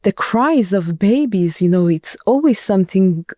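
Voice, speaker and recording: An older woman from Iran with an Iranian accent, answering interview questions in an earnest, measured way, often pausing with fillers. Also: medium pitch, lively intonation, warm tone, no room sound, studio quality. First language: Farsi. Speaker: interviewee, writer and translator.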